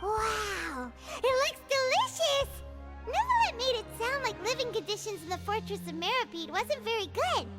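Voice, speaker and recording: Childlike game girl: extremely high, cute, animated inflection, music clearly behind. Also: moderate pace, exaggerated voice. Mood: excitable, surprised. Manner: cute, expressive, girlish. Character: small, sweet, childlike female head nurse or excitable companion. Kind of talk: video game voice acting.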